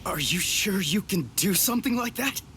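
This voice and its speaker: An actor playing a brash, defiant, gruff teenage boy hero in a video game. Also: high voice, lively intonation, moderate pace, background noise. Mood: determined. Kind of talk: dramatic English video game voice acting.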